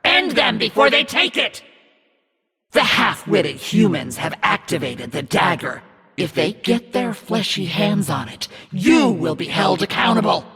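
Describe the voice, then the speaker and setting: A man voicing a menacing, scornful demonic narrator in a video game, commanding and mocking in a theatrical, raspy delivery. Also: high voice, sing-song, measured pace, bright, forceful tone, exaggerated voice. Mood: angry, commanding. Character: demonic evil narrator, menacing, scornful, imperious servant of darkness. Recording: long reverb over music.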